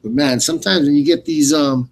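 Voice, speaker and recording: A man hosting fight commentary in a casual, rambling, unscripted way, often halting and repeating words. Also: fairly high pitch. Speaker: radio play-by-play host.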